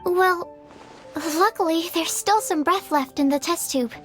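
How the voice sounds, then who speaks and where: A woman's voice: extremely high pitch, a little slow, fairly bright tone, soft background music. A woman playing an earnest, shy young female researcher in a video game, sweet and eager. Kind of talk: scripted game dialogue acting.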